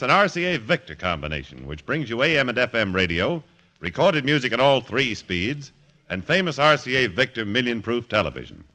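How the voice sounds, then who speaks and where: A man's voice: medium pitch, bright, forceful tone. A man announcing in 1940s radio style, with a polished, emphatic scripted sales delivery.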